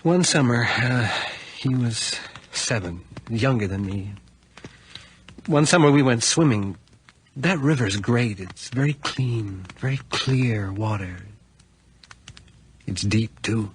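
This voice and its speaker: An actor playing a lonely, eerie young man of the woods, terse and quietly persuasive, in a horror radio drama. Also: mid-range voice, animated, takes it slowly. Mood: somber. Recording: noisy radio drama recording with clicks.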